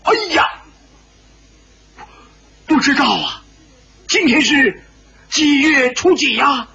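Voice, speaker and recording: A man's voice: very high-pitched, slow pace, pushed and bright, lo-fi sound, pitched above the performer's own voice, exaggerated voice. A man voicing a crazed, quarrelsome old martial-arts master in a dramatised wuxia story, frantic, lamenting and scolding. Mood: alarmed. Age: older.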